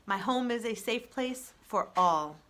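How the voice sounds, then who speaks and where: A woman voicing a kindly, calm female robot in a children's storybook, with measured, formal and reassuring phrasing. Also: on the high side. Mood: settled.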